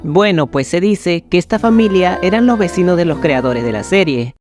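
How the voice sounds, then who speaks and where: Higher-voiced man narrating creepy stories. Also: lively intonation, normal speed, loud music behind the voice. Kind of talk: scripted dramatic narration of scary stories.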